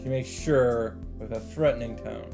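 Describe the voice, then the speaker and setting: Male let's play host, mid-range, casual and mock-dramatic narration, clear game music underneath. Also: mid-range voice, fairly even intonation, moderate pace. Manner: mock-dramatic, interjects swearing and side remarks. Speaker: let's play host reading a visual novel. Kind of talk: reading game narration aloud with casual commentary. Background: visual novel background music.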